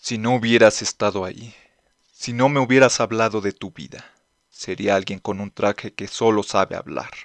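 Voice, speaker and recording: A man voicing a grand, noble heroic mentor in an anime fan dub, with deliberate, theatrical delivery. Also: lowish voice, fairly even intonation, vocal fry, no echo. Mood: solemn, grateful.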